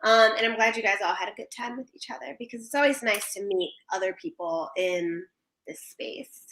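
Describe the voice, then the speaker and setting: A bright, forceful young woman's voice in an echoey room. She talks casually and conversationally to livestream viewers as a recap show co-host. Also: medium pitch, normal speed.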